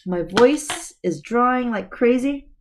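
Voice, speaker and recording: A middle-aged woman teacher, slightly hoarse, reading a novel aloud to her students with chatty asides, recorded on a laptop webcam at home. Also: a little high, animated, takes it slowly, background noise. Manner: chatty, addresses students directly. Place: room at home.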